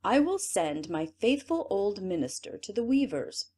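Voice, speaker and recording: A woman's voice: medium pitch, sing-song, unhurried, mellow. A woman reading aloud, voicing a vain, self-important emperor in a storybook. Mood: musing. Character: vain proud male emperor.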